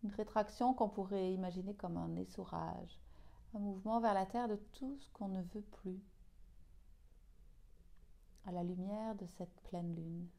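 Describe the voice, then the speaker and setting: A woman leading a guided yoga nidra meditation in a soothing, hushed, unhurried way with long pauses. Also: medium pitch, gravelly.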